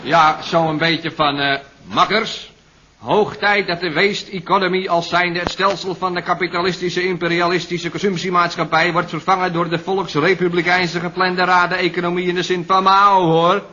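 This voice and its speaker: An actor playing a slangy, rambling young pop musician in an old radio comedy. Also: male voice, high-pitched, little pitch movement, normal speed, sharp tone, a little hiss or hum. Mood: mock-earnest. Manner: rambling, slangy, full of tags like "you know". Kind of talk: 1970s Dutch radio comedy acting. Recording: muffled old radio recording.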